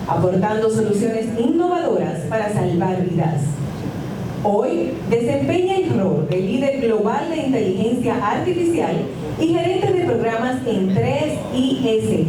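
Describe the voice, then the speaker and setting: A woman giving a formal, read-aloud introduction of a guest speaker at an event. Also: fairly high pitch. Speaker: event presenter. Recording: echoey, distant and noisy.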